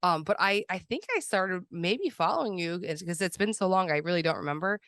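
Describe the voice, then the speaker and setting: A woman's voice: fairly low pitch, animated, fairly quick, a bit bright. A woman hosting a podcast, enthusiastic and chatty, who laughs easily with her guest. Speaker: podcast host. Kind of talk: upbeat podcast interview.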